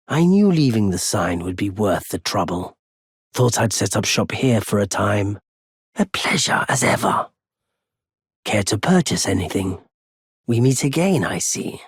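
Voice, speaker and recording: A very husky, lowish male voice for a wandering merchant in a video game. He speaks slowly and politely, with weary understatement and a quietly unforgiving streak. The recording is dry and close. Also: very animated, no room sound, full character voice. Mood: courteous, welcoming. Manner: measured, polite, wry, understated. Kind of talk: video game character dialogue.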